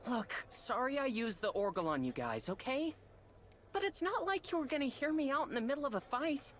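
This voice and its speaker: Scrappy, high-voiced young boy. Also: very animated, fairly quick, a little hiss or hum. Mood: earnest pleading. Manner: brash, slangy, emotional pleading. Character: cocky, scrappy young boy inventor and trickster. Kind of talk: video game voice acting. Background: game music.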